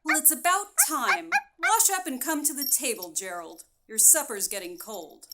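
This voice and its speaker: An actress playing a nagging, lonely housewife in a radio play, with a plaintive, chiding manner. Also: female voice, fairly high pitch, moderate pace, pushed and bright. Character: nagging, lonely housewife who dotes on her dog. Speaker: actress in an audio drama.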